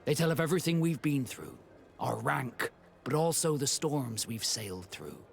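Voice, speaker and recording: An actor playing a proud, reserved male ship captain in a video game, speaking in a measured, formal and courteous way. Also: medium pitch, very animated, vocal fry, a little hiss or hum. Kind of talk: video game dialogue acting.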